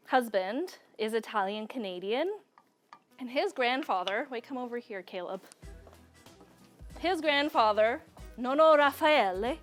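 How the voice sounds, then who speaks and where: A young woman from Serbia hosting a cooking show, chatting casually, laughing often and drifting into family stories while she cooks on a studio kitchen set. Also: on the high side.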